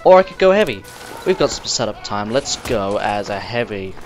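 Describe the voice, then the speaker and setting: A young man, slightly croaky and sniffly, rambling casually to the viewer as he plays and comments on a game. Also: lowish voice, sing-song, moderate pace. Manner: rambling, chatty, talks straight to the viewer. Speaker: gamer recording gameplay commentary. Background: game music and sounds.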